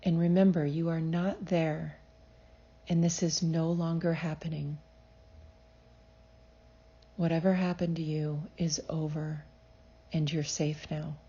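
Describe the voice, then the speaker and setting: A woman with a low, mellow voice guides a meditation at a very slow pace. Her delivery is soothing and measured, giving gentle instructions. The recording is slightly dull and muffled. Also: level tone, breathy edge. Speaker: psychologist leading a guided meditation.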